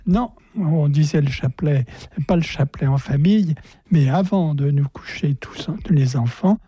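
An older man from a prayer group is answering questions. His voice is husky and very dark in tone. He answers in a hesitant, modest way, often pausing. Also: a little high, dry recording.

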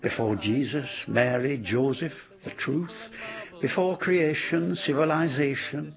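A man giving a slow, rhythmic poetry reading. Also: fairly high pitch, creaky.

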